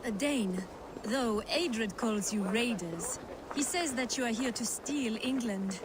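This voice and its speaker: An actress playing a refined, wistful, quietly scheming foreign noblewoman in a video game. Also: on the high side, expressive, takes it slowly. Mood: wry. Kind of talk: video game cutscene acting. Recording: noisy game ambience behind.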